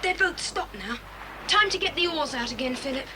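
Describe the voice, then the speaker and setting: Brisk, high-voiced boy adventurer. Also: animated, background noise. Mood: brisk, commanding. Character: resolute, sensible boy adventurer leading the children. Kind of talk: dramatised children's adventure audiobook.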